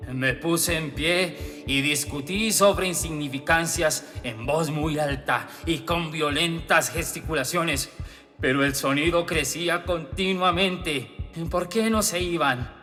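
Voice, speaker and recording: Give the voice of a tormented murderer in a radio horror play. He is paranoid and halting, with a slow, agitated delivery on a higher-pitched male voice. Long hall reverb surrounds him, with music underneath. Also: pushed and bright. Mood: mounting agitation. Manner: agitated, halting, building to frantic outbursts. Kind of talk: dramatic radio horror monologue.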